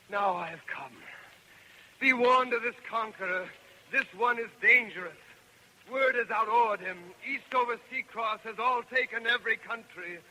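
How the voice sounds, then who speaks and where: High-voiced, desperate messenger. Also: male voice, exaggerated voice. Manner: declamatory, chanting rhythmic verse. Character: exhausted messenger runner crying a dire warning. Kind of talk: 1930s radio verse drama acting. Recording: bright, noisy old radio recording.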